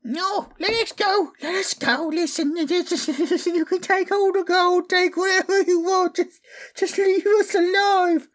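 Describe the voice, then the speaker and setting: A woman's voice: very high-pitched, fairly even intonation, slow. A woman playing a frightened captive pleading with bandits in a fan audio drama.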